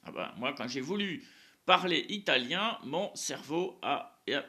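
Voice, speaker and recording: A man's voice: on the high side, expressive, measured pace, sharp tone. A man whose first language is Spanish, giving a casual, rambling religious monologue to camera, often repeating words and tagging his sentences with small fillers. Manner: rambling, repeats words, frequent "hein" and "euh".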